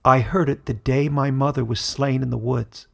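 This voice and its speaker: A man's voice: mid-range voice, steady tone, moderate pace, creaky, natural voice. A man voicing a grave, proud talking wolf in a fantasy audiobook, terse and solemn. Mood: accusing. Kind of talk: dramatic fantasy audiobook character reading.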